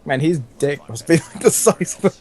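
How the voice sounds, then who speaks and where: A man's voice: on the high side, a little fast, darkish tone. A man reading a comic story aloud as host, with casual banter and dramatic emphasis. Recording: faintly noisy home gaming recording.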